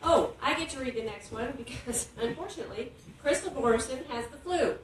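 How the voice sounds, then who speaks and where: A woman's voice: high-pitched, animated, brisk. A middle-aged woman hosting a live event with informal announcements. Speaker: event host. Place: indoor book launch event. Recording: very echoey hall, distant, noisy.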